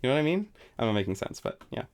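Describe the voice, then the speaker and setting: A young adult man streaming a relaxed, rambling reaction commentary, full of fillers and self-deprecating asides. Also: mid-range voice, racing.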